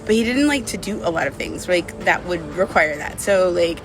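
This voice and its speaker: A woman's voice: lowish voice, lively intonation, a little fast, over music, low-quality recording. A woman talking casually and confessionally to camera as a vlogger, candid and rambling, with lots of "like" and "you know". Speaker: vlogger telling a personal breakup story.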